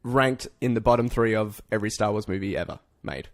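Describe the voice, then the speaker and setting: A man's voice: fairly low pitch, brisk. A man co-hosting a podcast, talking casually and informally like an opinionated fan. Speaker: podcast co-host. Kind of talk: casual pop-culture chat.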